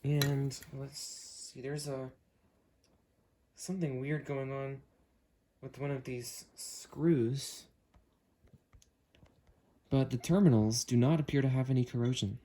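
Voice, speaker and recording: Male unboxing host, mid-pitched, casual hands-on commentary, slight background noise. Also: steady tone, takes it slowly, pauses often, warm tone. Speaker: host unboxing weather radios.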